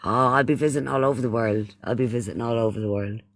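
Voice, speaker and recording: A man's voice: medium pitch, fast talker, fairly bright tone, no room sound. A man from Ireland with an Irish accent hosting a casual livestream chat, often repeating phrases and using pet names for those he addresses. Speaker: livestream host.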